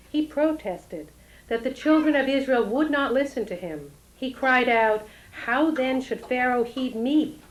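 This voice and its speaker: A woman giving a formal prepared welcome address at a synagogue event, measured and solemn. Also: fairly high pitch, rough recording. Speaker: rabbinic intern giving a welcome. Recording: old analog tape.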